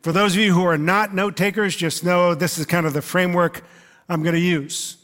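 Hoarse-edged casual male pastor. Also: high voice, steady tone, a little fast, a bit bright. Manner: casual, conversational, uses many fillers. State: losing his voice. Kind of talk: sermon.

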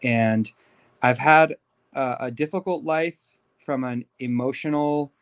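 A man's voice: medium pitch, slow pace. A thirty-year-old man with an American accent, telling his own story candidly and informally as a podcast guest. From Los Angeles, United States. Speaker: podcast guest, life coach. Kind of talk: conversational personal life story.

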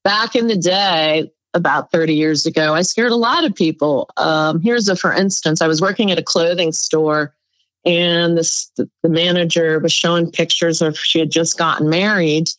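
A woman's voice: low-pitched, normal speed, dry recording, low-quality recording. A middle-aged woman from New York City whose first language is Spanish, telling stories as a guest in a casual interview. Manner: chatty storyteller, lively laughs. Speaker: guest psychic.

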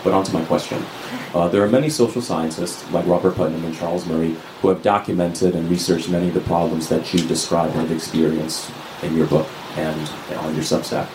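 A man's voice: low voice, little pitch movement, a little fast. A man asking a prepared question from the audience at a live event. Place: indoor live event venue. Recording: echoey venue sound with clear background noise.

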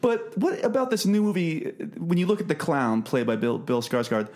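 A man's voice: fairly high pitch, moderate pace, darkish tone. A man co-hosting a podcast, chatting casually about films and reading out entertainment news in a wry, mock-formal way.

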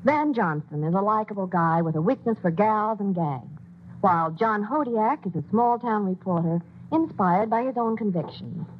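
A woman's voice: mid-range voice, expressive, moderate pace, slight background noise. A woman giving a chatty, persuasive scripted ad spot on an old radio show, heard as a tinny broadcast. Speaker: commercial spokeswoman.

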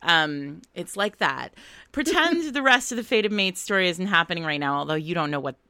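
Bright-voiced American woman, slightly low. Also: moderate pace, clear, resonant tone, dry recording. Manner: animated, digressive storytelling with frequent laughter. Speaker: podcast co-host. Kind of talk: casual chat.

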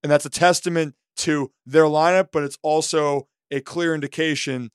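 A man with a fairly high, slightly hoarse voice talks through baseball analysis in animated bursts. The sound is dry and close, with no room around it. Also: normal speed, no room sound. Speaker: co-host. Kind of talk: sports podcast analysis.

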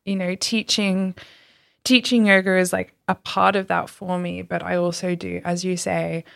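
Low, gravelly-voiced woman chatting. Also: level tone, normal speed, fairly bright tone, dry recording, studio quality. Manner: chatty, thoughtful, trails off mid-sentence. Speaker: yoga teacher and business owner. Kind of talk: casual conversation.

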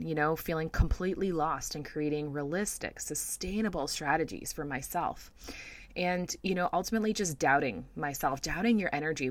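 A woman in her thirties hosting a casual podcast, talking straight to listening mothers in an encouraging way. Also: low voice. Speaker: podcast host.

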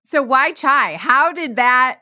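A woman hosting a casual interview, asking questions in a bubbly, expressive way. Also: high voice, slow, no room sound, studio quality. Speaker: podcast host.

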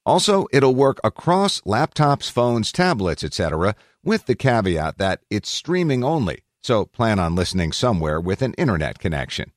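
A man's voice: lowish voice, sing-song, normal speed, hardly any pauses, dry recording, clean studio sound. A man reading scripted tech news narration as a podcast narrator.

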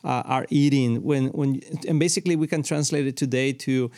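A man's voice: medium pitch, fairly even intonation, a little fast, dark tone. A man, co-hosting a faith and family talk show in an earnest, casual way. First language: Spanish. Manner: earnest, restarts often, mixes in Spanish. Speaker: podcast co-host. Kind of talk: casual chat.

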